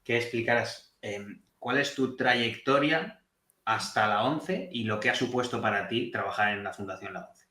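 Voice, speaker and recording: Host guy asking long rambly questions, bright sharp voice, roomy echo. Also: medium pitch, moderate pace. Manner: rambling, self-correcting questions. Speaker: podcast host. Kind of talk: casual interview questions.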